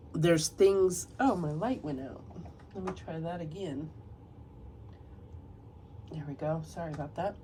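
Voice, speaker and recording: A woman's voice: low voice, slight background noise. A woman reading tarot cards and reading passages aloud from a guidebook, slightly nasal from a cold. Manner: soft, unhurried, reads passages aloud. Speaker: tarot reader.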